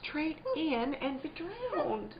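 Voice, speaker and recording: A woman's voice: high-pitched, big pitch swings, very slow, heavily acted. Woman playing a careworn, anxious country wife. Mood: alarmed. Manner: plain country dialect, fretful and earnest. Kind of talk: theatrical radio-play acting. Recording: dull, noisy lo-fi recording.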